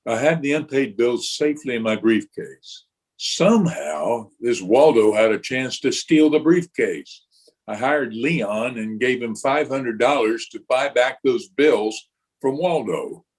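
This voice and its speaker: A man playing a troubled, sheepish married engineer in a radio detective drama, speaking in a hesitant, measured way. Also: mid-range voice, breathy edge. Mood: sheepish, resigned. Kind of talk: old-time radio drama re-creation.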